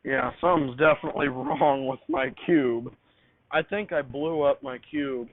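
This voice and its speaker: A man's voice: medium pitch, animated, moderate pace, no room sound. A man talking casually and off the cuff as he fiddles with gear, muttering to himself and repeating his phrases. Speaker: amateur vlogger showing home radio studio. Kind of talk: casual improvised talk while troubleshooting gear. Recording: tinny phone-like band, hissy background noise.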